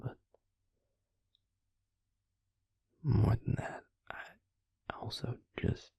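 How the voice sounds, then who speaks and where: A man playing a tender, regretful male vampire in an intimate audio roleplay, confiding haltingly in a soft, breathy, gravelly whisper. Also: dry recording, lightly acted. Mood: confiding, solemn.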